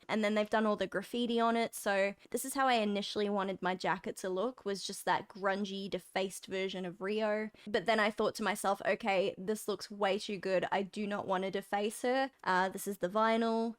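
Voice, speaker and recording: Vlog host, young woman, enthusiastic, a touch croaky, even mid pitch. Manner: bubbly, enthusiastic. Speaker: music reaction channel host. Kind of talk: casual chat to camera.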